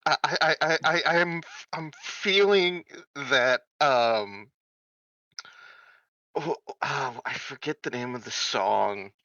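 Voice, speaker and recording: A man's voice: medium pitch, slow pace, pushed and bright, no room sound, lo-fi sound. A man chatting casually as a player in a tabletop game, jokey and often repeating his words as he stammers along. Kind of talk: casual gaming chat.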